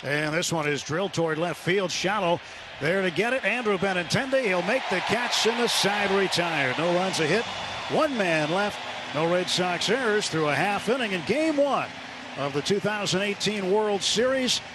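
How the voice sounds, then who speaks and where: A male American play-by-play announcer with a fairly high voice. He calls baseball live on the radio from a stadium booth, with loud ballpark noise all around him. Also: animated, moderate pace. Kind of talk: live sports commentary. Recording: noisy ballpark radio broadcast.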